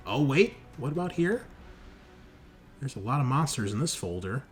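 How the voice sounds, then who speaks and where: A man with an average-pitched voice acts as game master over clearly audible music. He talks casually, mutters through rules and rolls aloud, and swears freely. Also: very animated, normal speed. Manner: informal, swearing, thinking aloud. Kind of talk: casual tabletop game refereeing.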